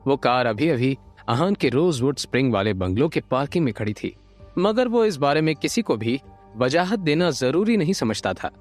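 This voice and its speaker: A man narrating an audio drama from a script, expressive and building suspense. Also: medium pitch, moderate pace. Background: light background music.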